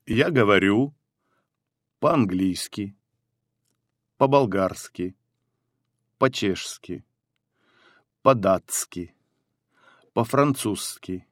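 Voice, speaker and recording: A man reading phrases for a language course, one by one with pauses, in a careful, clearly enunciated delivery. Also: on the low side, no echo, clean studio sound. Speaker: phrasebook reader.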